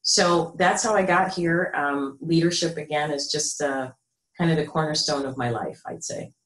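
A middle-aged American woman with a rich, low voice, explaining her work in a measured, conversational interview style on a video call. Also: fairly bright tone. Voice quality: rich, deep, low register. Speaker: guest, business consultant. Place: small room indoors at home or office. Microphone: computer mic on a video call. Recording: roomy, echoey video call sound.

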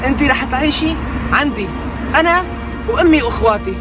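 Young Levantine man, acted for online moral drama: kind, concerned, very quick delivery, music heavy underneath. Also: extremely high pitch, lively intonation. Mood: kind, earnest. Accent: Levantine Arabic. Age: young adult. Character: concerned, kind young rich man helping street children. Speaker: actor in short moral skit. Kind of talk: acted social media skit. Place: outdoors in an urban car park. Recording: loud music over the voice.

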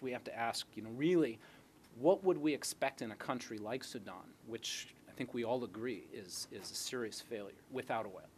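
A man's voice: mid-range voice, fairly bright tone. A middle-aged man answering audience questions as an academic speaker in a lecture hall, explaining through rhetorical questions. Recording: noisy lecture hall recording.

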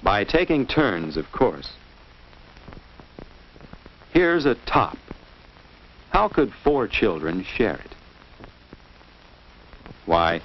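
An American man reading scripted narration for a 1950s children's instructional film, in a didactic way, putting questions to young listeners. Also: lowish voice. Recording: muffled, noisy old 1950s film soundtrack.

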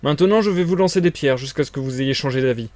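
A man reads as an unseen storybook gentleman who is commanding, impatient and controlled, with menace underneath. The phrases are terse and insistent, in a mellow voice with very little room sound. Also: mid-range voice, quick pace, dry recording, close to an everyday voice. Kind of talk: dramatized audiobook reading.

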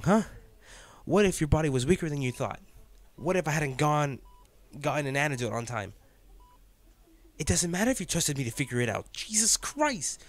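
A man's voice: on the high side, natural voice. A man playing an intense, protective male field agent in a dramatic audio roleplay, speaking emotionally and emphatically to his partner. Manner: emotional, emphatic, addressing the listener directly.

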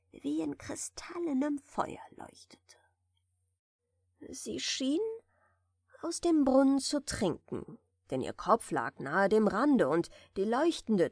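A woman softly reading a bedtime fairy tale in a gentle, soothing manner, at times close to a whisper. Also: fairly high pitch, creaky, dry recording. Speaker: audiobook reader, storyteller.

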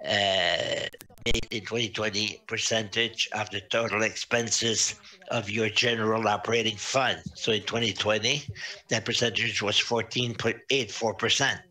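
A man presenting financial results to a council, reading out figures with many pauses and fillers. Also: fairly low pitch, fairly even intonation, pushed and bright, low-quality recording. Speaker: auditor presenting financial statements. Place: municipal council meeting over video link.

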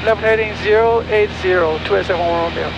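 Male pilot, high voice, radio readbacks, small plane cockpit, loud engine drone. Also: fairly even intonation, a little fast, muffled recording. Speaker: private pilot. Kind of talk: radio readbacks to air traffic control. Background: loud aircraft engine noise.

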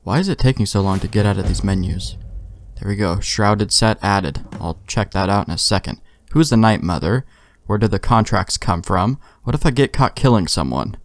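A man's voice: lowish voice, animated, moderate pace, slight background noise. A man giving casual, wry let's play commentary, joking and swearing.

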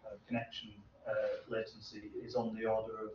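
Distant, low-voiced man in a roomy space. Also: completely flat delivery, brisk, a little mellow. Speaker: researcher presenting at a seminar. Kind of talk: informal technical seminar Q&A. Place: medium seminar room with hard walls. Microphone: distant camera microphone. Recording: roomy, distant, muffled, noisy room recording.

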